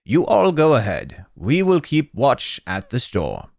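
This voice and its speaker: Man voicing an agreeable shopkeeper husband, raised pitch. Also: huge pitch swings, measured pace, creaky, no room sound, studio quality, exaggerated voice. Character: kindly married male shopkeeper, agreeable and steady.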